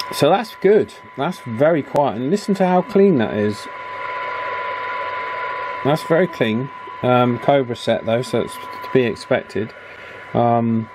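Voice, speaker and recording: A man giving a casual, hands-on repair demonstration, chatty and unhurried, thinking aloud as he works. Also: medium pitch, background noise. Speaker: radio repair video presenter. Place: indoor electronics repair bench.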